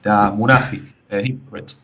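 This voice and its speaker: A man's voice: lowish voice, steady tone, a little fast, a bit bright. A man with a mixed Spanish and British accent calling in, talking hesitantly with many fillers over a phone line. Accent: mixed Spanish and British English. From Spain and the UK. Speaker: caller. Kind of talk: call-in conversation. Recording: dull lo-fi phone call.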